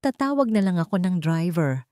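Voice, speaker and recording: A woman narrator voicing a wealthy young businesswoman who speaks softly and coaxingly. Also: on the low side, sing-song, moderate pace, no echo, studio quality. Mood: subdued.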